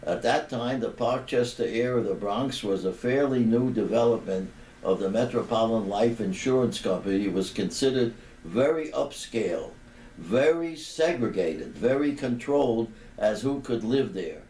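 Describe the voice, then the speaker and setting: A man over eighty, a rabbi reminiscing in a deliberate, halting way with pauses between phrases. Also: mid-range voice, expressive, warm tone. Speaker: rabbi giving a personal remembrance. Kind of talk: unhurried reminiscing tribute talk. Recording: roomy, distant microphone, background noise.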